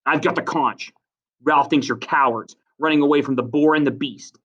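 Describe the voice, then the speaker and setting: Guy doing a bitter defiant boy for a storybook, higher voice, loud n pushy, bit distant from mic. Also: normal speed, highs cut off. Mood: accusing. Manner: loud, forceful declarations. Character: defiant, bitter, tearful boy hunter challenging the chief.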